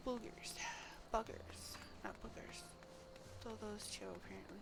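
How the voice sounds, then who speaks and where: A woman's voice: medium pitch, steady tone, measured pace, gravelly, very noisy. A woman with a stuffy nose on a live game stream, reading quest text aloud and making offhand comments.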